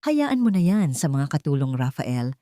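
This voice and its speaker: Audio-story role: a woman playing a dismissive Filipino socialite wife, disdainful, cutting, low-pitched. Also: big pitch swings, moderate pace, dark tone, no room sound, studio quality. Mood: scornful. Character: haughty young rich wife, scornful and dismissive.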